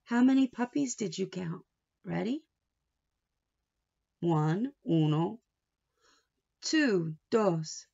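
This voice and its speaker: A woman's voice: medium pitch, lively intonation, drawn-out words, gravelly, long pauses, mellow, slightly rough, muffled recording. A woman reading a story aloud to young children like a teacher, expressively, with asides and questions left open for answers.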